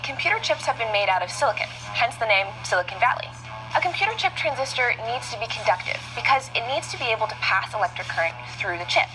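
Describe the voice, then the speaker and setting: A woman's voice: on the high side, low-quality recording. A woman reading a scripted science explainer in a polished, upbeat way. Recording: clear music bed underneath.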